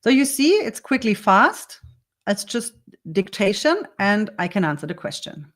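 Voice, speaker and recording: A woman with a German accent, a native German speaker, calmly talking through her answers in English as a copywriter teaching a workshop. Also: mid-range voice, measured pace. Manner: earnest, explains step by step in second-language English. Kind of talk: dictated spoken answers in a workshop demo.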